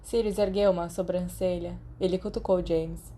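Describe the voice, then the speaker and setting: A woman's voice: on the low side, steady tone, moderate pace, warm tone. A woman with a Brazilian accent reading scripted fiction as an audiobook narrator. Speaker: podfic narrator.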